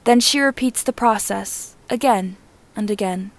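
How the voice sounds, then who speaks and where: A woman reading fan fiction aloud as an amateur audiobook narrator, in a measured, deliberate manner with short phrases. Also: mid-range voice.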